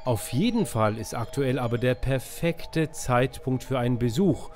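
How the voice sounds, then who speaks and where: A man's voice: medium pitch, animated, unhurried, darkish tone, soft background music. A man in his forties presenting a travel vlog, talking and narrating as he shows places. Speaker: travel vlogger.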